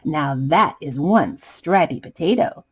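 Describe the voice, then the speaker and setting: Lively woman reading a picture book. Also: deep voice, slow, slightly rough. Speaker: children's storytime reader. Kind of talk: expressive picture-book narration.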